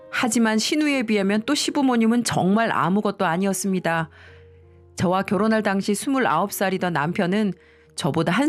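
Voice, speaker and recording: A woman reading a scripted first-person confessional story as its narrator. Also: medium pitch.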